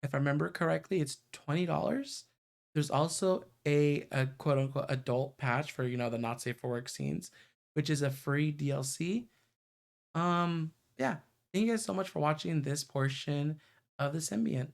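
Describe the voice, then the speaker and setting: A man's voice: on the high side, normal speed, creaky. A man giving casual livestream commentary as a game streamer. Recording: faint game music behind the voice.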